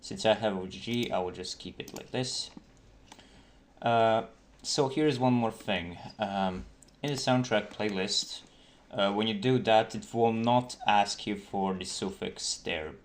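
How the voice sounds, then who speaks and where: Low-voiced man in a halting tutorial. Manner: halting, informal explaining. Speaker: game developer giving a tutorial. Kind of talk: casual screen-recorded tutorial. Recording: noisy desktop mic with key clicks. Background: keyboard typing and mouse clicks.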